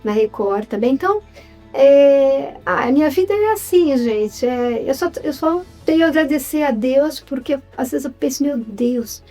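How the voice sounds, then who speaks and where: A woman's voice: high-pitched, animated, measured pace, dark tone, faint music behind. A woman with a Brazilian accent telling stories conversationally as an interview guest, reflective and often checking in with the listener. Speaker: interview guest, writer and actress. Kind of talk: casual conversational storytelling.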